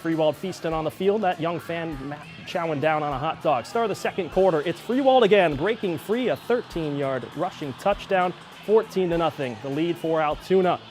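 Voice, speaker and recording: A man working as a sports anchor, narrating game highlights from a script with an emphatic play-by-play delivery. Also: normal speed, few pauses, a little mellow, soft background music.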